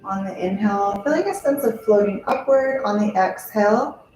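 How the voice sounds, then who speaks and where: A woman yoga teacher leading a guided meditation in a gentle, unhurried way, with short, spaced-out phrases. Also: mid-range voice. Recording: very echoey, music under the voice. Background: music.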